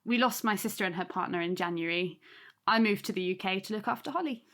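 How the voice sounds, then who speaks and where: An actress playing a modest young aunt hosting in an audio drama, polite, gentle and eager to please. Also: female voice, medium pitch, quick pace, lightly acted. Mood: subdued.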